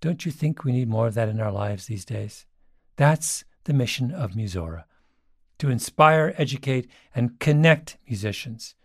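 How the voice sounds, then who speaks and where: A man's voice: medium pitch, animated, moderate pace, no echo, studio quality. A man presenting a scripted advertisement in a conversational, direct-to-listener way.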